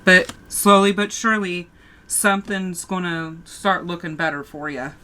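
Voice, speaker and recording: A woman's voice: on the low side, a little slow, bright, forceful tone, slight background noise. A woman with a sore throat giving a casual tarot reading, talking straight to her viewers and often restarting her sentences. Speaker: tarot reader.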